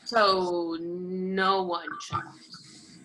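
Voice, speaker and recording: A woman's voice: lowish voice, flat delivery, extremely slow, fairly bright tone, low-quality recording. A woman in a play reading playing a polite, naive young roommate, wondering and curious. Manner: short, wondering questions. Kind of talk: play reading, naturalistic conversational acting.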